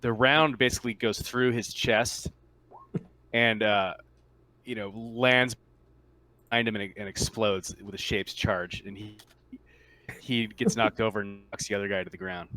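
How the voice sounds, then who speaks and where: A man running a tabletop roleplaying game, describing the action in a halting, matter-of-fact way. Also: on the low side, steady tone, bright, forceful tone, rough recording. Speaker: game master.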